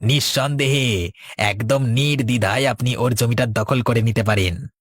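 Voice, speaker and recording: A sly, fawning male village sidekick from a cartoon played by a man. He has a husky mid-range voice with an ingratiating manner, in a dry recording. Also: medium pitch, normal speed, no room sound, natural voice. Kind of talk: Bengali cartoon dialogue.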